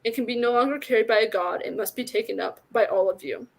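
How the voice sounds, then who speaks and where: An ancient god of the wild, tender and forlorn as a woman reads him from a novel. He speaks solemnly and gently, taking his time like someone fading away. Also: on the high side, fast, creaky. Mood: firm, encouraging.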